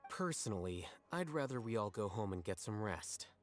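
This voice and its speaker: A man playing a calm, unsentimental strategist in a video game, matter-of-fact and deadpan. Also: lowish voice, moderate pace. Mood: dryly dismissive. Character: calm, dry, unsentimental young male strategist. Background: game music.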